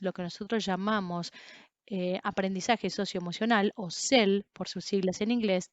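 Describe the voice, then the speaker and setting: A woman interpreting a lecture, speaking in a measured, explanatory way with occasional fillers. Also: mid-range voice, dry recording, dull audio. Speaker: simultaneous interpreter.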